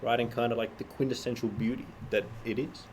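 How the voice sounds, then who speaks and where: A man's voice: mid-range voice, steady tone, a little fast, a little mellow, slight background noise. A young man in casual podcast chat, teasing and arguing in a dry way. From Australia. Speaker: co-host.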